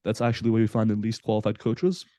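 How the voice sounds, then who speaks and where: A man in his twenties from Belgium, a guest on a casual podcast interview, explaining in a relaxed way with frequent fillers. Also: on the low side, fast talker, dry recording, clean studio sound. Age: 20s. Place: Southampton, UK.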